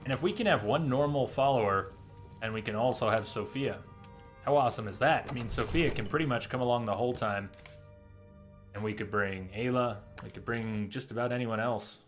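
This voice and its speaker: A man's voice: medium pitch, fairly quick, soft background music. A man who streams games, talking through a playthrough and reading lore aloud to his viewers. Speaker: game streamer. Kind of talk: live game commentary and reading in-game lore aloud.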